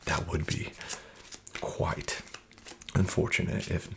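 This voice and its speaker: A man in a soft-spoken roleplay as a smooth, flattering casino dealer, often whispering and slyly nudging the listener to bet more. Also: slow pace, a little hiss or hum. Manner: whispery, with much unvoiced soft speech. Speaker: ASMR roleplay creator playing a casino dealer.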